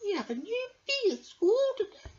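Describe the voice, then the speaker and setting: A woman's voice: very high-pitched, very animated, moderate pace, a little mellow, muffled recording, voice pushed up. A woman voicing a bossy queen bee who runs a school, with an authoritative schoolmistress manner in a children's storybook. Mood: bright, welcoming.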